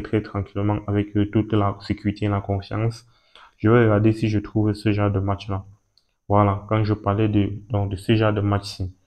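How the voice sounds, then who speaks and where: A man, talking through a screen-recorded walkthrough, repeating himself and pausing as he points things out. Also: lowish voice, a little mellow. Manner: repetitive and hesitant, points at things with "ici, là". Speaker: betting tutorial host.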